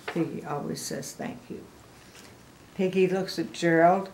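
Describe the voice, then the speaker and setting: A woman's voice: lowish voice, fairly even intonation, measured pace, heavy background noise. An older woman reading a picture book aloud to small children, explaining the pictures as she goes. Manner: explains the pictures, addresses the listener. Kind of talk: expressive storybook read-aloud to small children.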